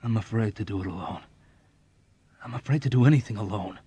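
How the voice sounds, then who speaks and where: A man voicing a belligerent, desperate young airman in an audiobook novel, with a thick, hoarse voice and an agitated, pleading, shouting manner. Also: lowish voice, a little fast, dry recording. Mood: urgent.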